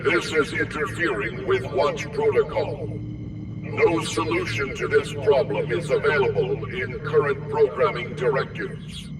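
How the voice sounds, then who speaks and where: A man's voice: a little high, a little slow, long pauses, heavy background noise, natural voice. A man playing a dutiful, literal-minded robot guardian in a video game, formal, clipped and emotionless. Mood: flat, reporting. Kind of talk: video game machine character acting. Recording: distant sound under loud music.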